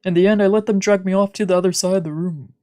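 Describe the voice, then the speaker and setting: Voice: mid-range voice, fairly even intonation, fast talker, warm tone. A streamer reading visual novel narration aloud, with casual asides, while music plays behind. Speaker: streamer, let's player.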